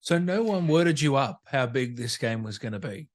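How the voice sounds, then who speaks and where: Male podcast interviewer, mid-range voice, relaxed informal phrasing, casual chat style. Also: mid-range voice, normal speed.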